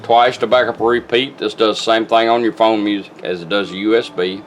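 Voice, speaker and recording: Man, lower-middle everyday pitch, plain-spoken folksy instructor, casual step-by-step demo, radio music clearly behind. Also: fairly even intonation, brisk. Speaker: car radio restorer giving a product demonstration. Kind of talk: casual hands-on demonstration. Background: car radio playing news and music.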